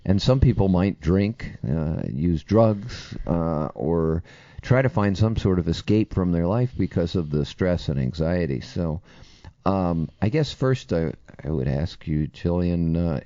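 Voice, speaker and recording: A middle-aged man co-hosting a recovery podcast, a little hoarse, talking in a rambling, casual way with many fillers. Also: low-pitched, mellow, no echo, lo-fi sound. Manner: rambling, lots of fillers and restarts. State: slightly hoarse from yelling. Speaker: podcast co-host.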